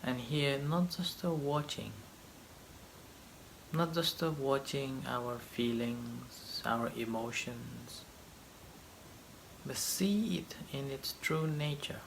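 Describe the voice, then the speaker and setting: Slow-spoken male meditation teacher. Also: medium pitch, vocal fry, slight background noise, low-quality recording. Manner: halting short phrases with long pauses. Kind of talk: guided meditation, slow gentle instruction.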